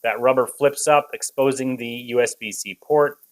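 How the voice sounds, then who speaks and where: A man's voice: medium pitch, steady tone, normal speed. A man reviewing a product in an unscripted walkthrough, explaining things step by step.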